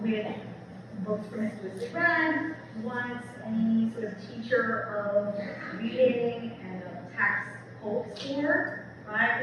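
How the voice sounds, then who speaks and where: Woman speaking in a very echoey hall. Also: medium pitch. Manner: conversational, peppered with "like" and "right?", enthusiastic. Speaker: speaker, English professor. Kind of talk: informal public book talk and audience discussion. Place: event room with an audience. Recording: very echoey hall, distant mic, noisy.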